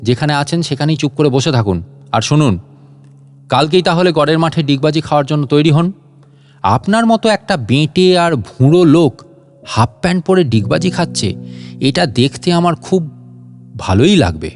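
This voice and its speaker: A man's voice: a little high, expressive, moderate pace, long pauses, faint music behind. A man doing a stern, boastful burglar. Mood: stern, scolding. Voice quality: stern voice, hacking cough. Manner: scolding, bossy, threatening. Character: boastful, irritable male burglar mistaken for a ghost.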